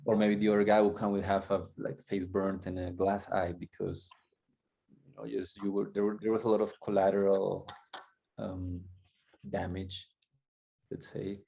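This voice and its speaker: A man from Colombia, a guest coach, telling his story candidly and reflectively, halting and restarting as he goes. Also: low voice, flat delivery, gravelly, warm tone.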